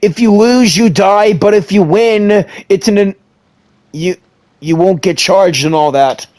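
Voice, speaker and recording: Man, game streamer, high voice, slow, playful, stumbling, faint music. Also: darkish tone. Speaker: gaming streamer. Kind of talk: reading game choices and commenting.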